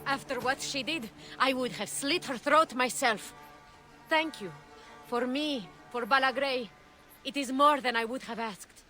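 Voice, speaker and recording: A fierce woman leader in a video game. Her voice is on the high side and carries a weary, serious weight, with clear music playing behind it. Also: a little slow, sharp tone. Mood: bitter, then solemn. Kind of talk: dramatic game acting. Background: prominent music.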